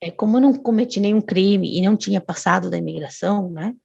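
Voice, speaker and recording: A woman's voice: medium pitch, fast talker, creaky, darkish tone, breathy edge, no echo, highs cut off. A woman, a guest telling a long personal story in casual chat, often restarting and adding tag questions. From Brazil.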